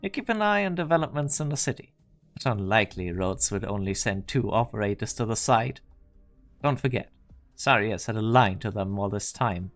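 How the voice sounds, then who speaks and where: A man's voice: on the low side, animated, moderate pace, a bit bright, soft background music. A man playing a haughty, impatient research director in a game fan dub, coldly commanding and curt. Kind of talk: scripted anime game dub acting.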